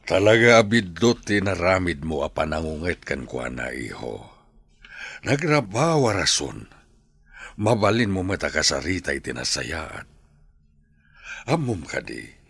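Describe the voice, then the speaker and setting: Radio drama elderly patriarch played by a man: rumbling very low, raspy, expressive, counselling his sons. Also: unhurried, pauses often, no echo, full character voice. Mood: admonishing. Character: old grandfather, patient, admonishing family patriarch.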